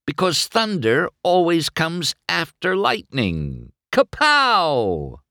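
A man's voice: medium pitch, huge pitch swings, slow, sharp tone, no echo, clean studio sound, voice pushed up, heavily acted. A man voicing a cocky, self-centred young male race car with a smug, sarcastic way of talking.

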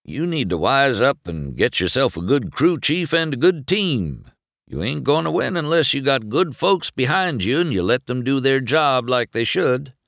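A man's voice: mid-range voice, big pitch swings, normal speed, vocal fry, a bit bright, no room sound, studio quality, pitched above the performer's own voice. A man voicing an older male champion race car who gives blunt, folksy advice. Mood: advising.

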